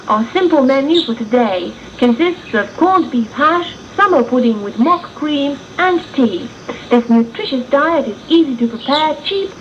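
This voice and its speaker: High-voiced wartime government broadcaster, played by a woman. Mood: brisk, encouraging. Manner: instructive, exhortative, formal. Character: brisk, patriotic 1940s wartime Ministry of Food broadcaster, woman. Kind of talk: 1940s radio public information broadcast. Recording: dull lo-fi 1980s radio tape, faint noise.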